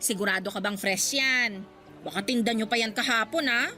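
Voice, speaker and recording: An actress playing a snobbish middle-aged neighbour in a Tagalog radio drama, scornful and nitpicking. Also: female voice, a little high, normal speed, sharp tone, soft background music. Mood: disdainful. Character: snobbish, haggling, insulting female neighbour.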